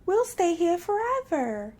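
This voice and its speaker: A woman's voice: extremely high pitch, sing-song, a little slow, darkish tone, voice pushed up, exaggerated voice. A woman voicing a small, fearless, gleeful child kangaroo in a storybook, playful and squealing.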